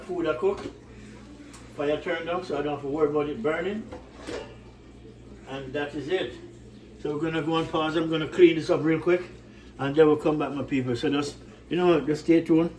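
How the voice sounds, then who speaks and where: A man's voice: a little high, fairly even intonation, moderate pace, long pauses, recorded from a distance, a little hiss or hum, poor audio. Rambling Jamaican man, a bit off-mic. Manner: warm, repetitive, rambling, often says "right?". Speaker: cooking channel host. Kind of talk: casual chat to viewers. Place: home kitchen.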